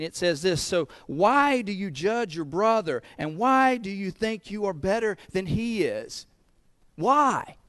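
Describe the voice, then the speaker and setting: A man's voice: sits high, no room sound, studio quality. A man with a Southern US accent preaching a sermon, earnest, often repeating words as he builds a point. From American South. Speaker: pastor.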